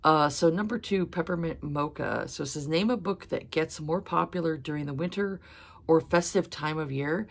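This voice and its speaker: A woman in her thirties, hoarse from a cold, chatting casually about books with many fillers. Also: deep voice, moderate pace. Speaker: book vlogger. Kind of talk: casual chat.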